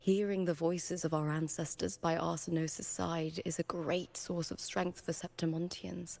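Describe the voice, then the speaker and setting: A woman's voice: lowish voice, flat delivery, a little slow, gravelly, darkish tone. An actress playing a proud, spirited young female gladiator champion in a video game, speaking with dramatic confidence. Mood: solemn.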